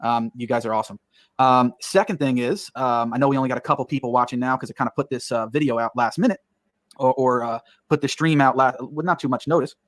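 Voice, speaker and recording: A man with a mid-pitched voice gives a prepared lecture, walking through each point in order. His delivery is steady and explanatory. The video plays back through a stream with dry, close sound. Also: fast, no echo. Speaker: online lecturer.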